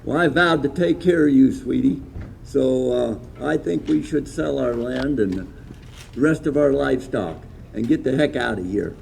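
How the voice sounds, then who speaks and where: Male reenactor as a gravelly, folksy rancher husband. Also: medium pitch, moderate pace, a little mellow, slight background noise, low-quality recording. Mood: resolute. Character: worried rancher husband selling out. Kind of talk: outdoor Wild West reenactment.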